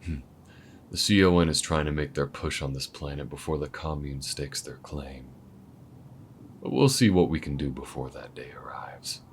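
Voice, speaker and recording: A man voicing a calm, weathered alien gunslinger who mentors the listener, speaking low-key and laid-back, sometimes near a whisper, in an audio roleplay. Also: moderate pace, vocal fry, slight background noise. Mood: steady, easygoing. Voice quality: very deep, low-key drawl.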